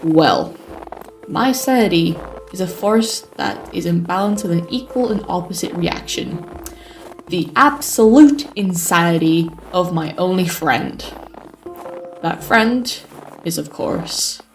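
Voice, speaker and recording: A man's voice: high voice, lively intonation, full character voice, rough recording. Man playing a weary, wry apocalypse survivor. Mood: grave, dramatic. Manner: wry, sighing, deliberate. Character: weary sarcastic male survivor narrating the apocalypse. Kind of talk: dramatic scripted narration. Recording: music behind the voice. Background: music.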